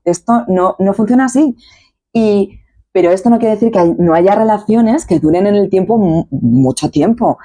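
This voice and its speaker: Manchego woman, mellow, emphatic co-host. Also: mid-range voice, animated, normal speed. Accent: Manchego Spanish. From Castilla-La Mancha, Spain. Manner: emphatic, agrees constantly while the other talks. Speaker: podcast co-host. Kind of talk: casual conversation.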